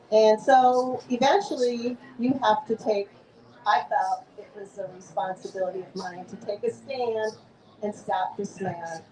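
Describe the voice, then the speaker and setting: A woman, an author speaking earnestly at an outdoor book fair booth, pausing with fillers. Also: medium pitch. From Upper Peninsula of Michigan. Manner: slow, earnest, halting with fillers. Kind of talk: personal talk to a passing crowd. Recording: distant mic, echoey, loud crowd.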